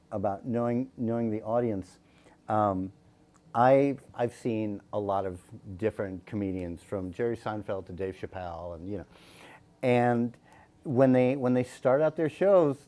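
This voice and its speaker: A man hosting a talk show in casual conversation, halting and repeating words as he goes. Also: medium pitch, warm tone. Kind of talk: casual interview chat.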